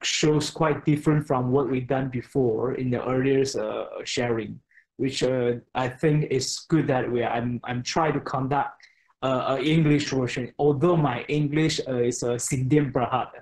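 A man's voice: mid-range voice, steady tone, measured pace, darkish tone, low-quality recording. A man in his thirties, a native Mandarin speaker, presenting a product on a video call from his home study, with many fillers and restarts. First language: Mandarin Chinese. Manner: hesitant, many fillers and restarts, frequent "okay?". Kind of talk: online product webinar. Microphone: webcam on a video call.

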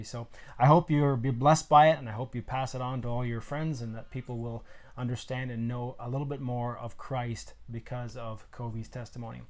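A man in his thirties hosting a podcast, talking in a relaxed, chatty way during a casual interview. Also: medium pitch. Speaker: podcast host. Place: small wood-paneled room indoors.

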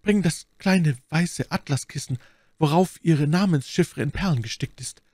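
A man's voice: a little high, moderate pace, darkish tone, no room sound, voice pushed up, lightly acted. A man voicing a wounded, dying English duke in an audiobook, imperious and pleading, with a faint, strained voice. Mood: solemn, urgent. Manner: exclamatory, pleading, impatient. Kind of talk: dramatic audiobook character reading.

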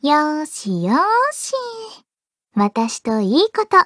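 High, bubbly anime girl. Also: sing-song, slow pace, dry recording, clean studio sound. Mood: relaxed, sweet. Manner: playful, coy, cutesy. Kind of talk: Japanese anime mobile game character lines.

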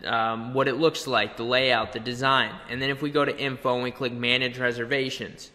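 A young man presenting a conversational software tutorial, explaining step by step with little check-in questions. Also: mid-range voice, flat delivery, normal speed. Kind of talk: conversational screen-share tutorial. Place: indoor room, webcam beside screen capture. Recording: long reverberant room echo.

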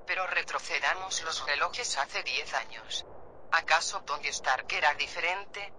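A man's voice: fairly high pitch, measured pace, sharp tone, a little hiss or hum. A man playing an opinionated, formal commentator on a scripted radio show. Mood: measured, opinionated.

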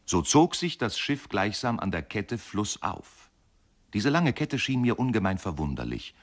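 Radio-play narrator: reflective man, low register, measured and expressive literary storytelling. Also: exaggerated voice. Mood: puzzled, wondering. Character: reflective male narrator recalling a riverside boyhood.

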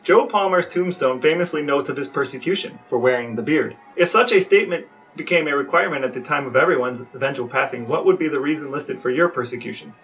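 A man's voice: a little high, animated, quick pace, hardly any pauses, fairly bright tone, soft background music. A man co-hosting a podcast, asking mock-formal questions and chatting casually, on a slightly distant microphone. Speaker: podcast co-host and interviewer.

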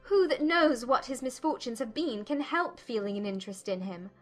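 Indignant young period gentlewoman, played by a woman, high-pitched, formal and accusing, audiobook drama. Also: animated, normal speed, faint music behind, close to an everyday voice. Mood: cold accusation. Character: spirited, indignant young gentlewoman refusing a suitor.

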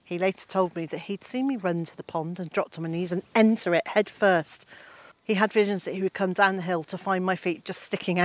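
An older woman with a fairly low voice reads a passage from her own gardening book. She tells it with lively storytelling emphasis, recorded outdoors in a shady garden facing the camera. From Britain. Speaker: gardening author and homestead host. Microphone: camera-facing, mid-shot.

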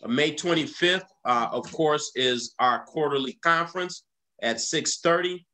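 A middle-aged man, a pastor, reading church announcements over a video call, pausing often with "uh" and "um". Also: on the high side, sharp tone. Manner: halting, many "uh" fillers.

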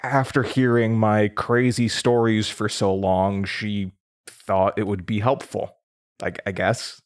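A man's voice: fairly low pitch, flat delivery, slow. An actor playing a nervous, confused young man under interrogation, stammering and hesitant in a naturalistic audio drama. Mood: uneasy, defensive.

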